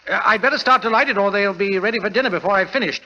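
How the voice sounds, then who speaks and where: An actor playing a genial, evasive upper-class gentleman in a 1940s radio drama, fussy and hesitant. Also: high voice, rapid-fire. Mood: nervously genial. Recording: dull, noisy old radio recording.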